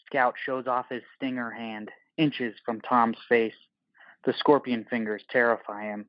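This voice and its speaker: A man's voice: mid-range voice, steady tone, unhurried, dry recording, dull audio. A man narrating an audio play from a script in terse, dramatic, clipped phrases.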